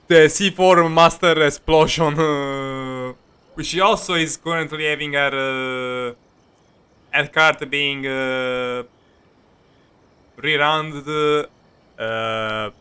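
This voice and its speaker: Bright, high-voiced male game streamer. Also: steady tone, slow, long pauses, clear, resonant tone. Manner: giggly, rambling, often repeats himself. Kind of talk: casual live gameplay commentary. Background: faint game music.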